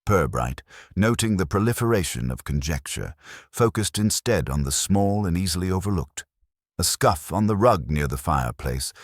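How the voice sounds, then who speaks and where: Male narrator for scripted mystery fiction: very deep, expressive pitch movement, formal and measured, close dry sound. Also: no room sound.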